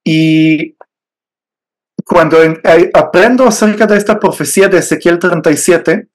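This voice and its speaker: A man from Israel whose first language is Hebrew, speaking in a measured, hesitant way with fillers as an interview guest telling his faith story. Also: a little high. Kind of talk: personal faith testimony.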